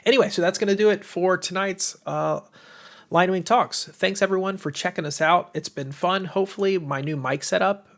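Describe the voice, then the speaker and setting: An American man with a tired, slightly hoarse voice chats casually and enthusiastically as the host of a livestream, rambling informally to viewers. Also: sits high, normal speed. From United States. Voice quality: tired, strained voice. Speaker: livestream host, game publisher.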